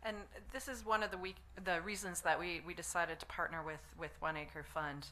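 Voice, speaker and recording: Bright, forceful woman with a deep voice. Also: steady tone, normal speed. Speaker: foundation representative on a press panel. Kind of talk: unscripted answers at a press conference. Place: press conference room.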